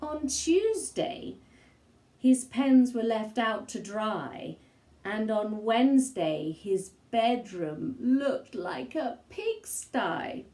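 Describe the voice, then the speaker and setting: Slow, high-voiced woman reading a picture book. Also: animated, dark tone, echoey room. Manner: theatrical, slow and deliberate, with gasps and asides. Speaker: storybook reader. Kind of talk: expressive children's read-aloud of rhyming picture book.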